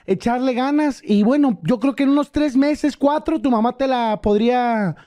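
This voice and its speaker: A man's voice: very high voice, normal speed, warm tone. A young man making a prank call as an official, scolding company agent. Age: young adult. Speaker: prank caller. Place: gaming studio room. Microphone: boom microphone, phone in hand.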